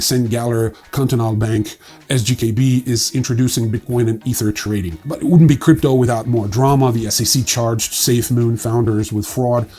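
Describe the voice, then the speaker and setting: A man hosting a casual news roundup in an offhand, wry way, with a husky voice and frequent hesitations. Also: medium pitch, warm tone. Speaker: newsreel host. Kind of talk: casual crypto news commentary, partly read.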